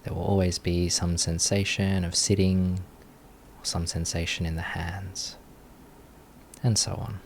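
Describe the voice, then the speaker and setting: A man leading a guided meditation as a teacher, giving gentle, unhurried instructions with long pauses between phrases. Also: low voice, level tone, slight background noise.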